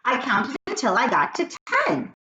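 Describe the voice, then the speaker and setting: Woman putting on an earnest, high-pitched, pleading schoolboy. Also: big pitch swings, moderate pace, vocal fry, bright, forceful tone, dull audio, exaggerated voice. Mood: protesting. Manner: pleading, protesting, exclamatory. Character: earnest young schoolboy, stubborn, whiny, eager for recess. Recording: roomy echo, faint background noise.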